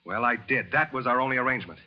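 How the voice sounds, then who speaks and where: An actor in a 1940s radio thriller playing a hard-boiled, relentless avenger of war crime victims, commanding and clipped. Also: male voice, mid-range voice, fast, close to an everyday voice. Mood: cold, relentless. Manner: commanding, clipped, grimly insistent. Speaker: protagonist in a radio play. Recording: dull, lo-fi vintage radio recording.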